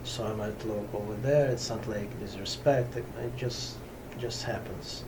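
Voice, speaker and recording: Slow-spoken man, distant mic. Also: lowish voice. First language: Russian. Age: middle-aged. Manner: halting, pauses to find words, many fillers. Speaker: yoga teacher. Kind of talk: slow unscripted talk to camera. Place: small hot room in a Brooklyn home ashram. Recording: camera from a distance with steady background noise.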